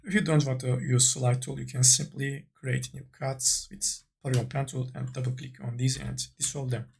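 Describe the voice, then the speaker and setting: A man narrating an unscripted software tutorial, tentatively thinking aloud as he works. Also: medium pitch, level tone, moderate pace, gravelly, breathy edge. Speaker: 3D modeling tutorial instructor.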